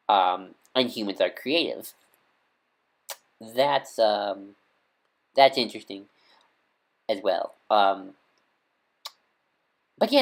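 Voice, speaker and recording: A man talking casually to camera, recommending stories as an enthusiastic fan, rambling with many ums and restarts. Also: lowish voice. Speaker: video creator recommending audio dramas. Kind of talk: casual unscripted talk to camera.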